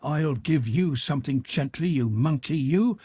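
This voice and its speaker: A bustling old woman housekeeper, as a male narrator puts her on, her voice pushed high and husky with a lively, swooping pitch. She scolds in short, sharp bursts and turns warmly fussing toward guests. The reading sounds close and dry. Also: a little slow, no echo, full character voice. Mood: scolding. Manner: sharp and scolding, then cordial.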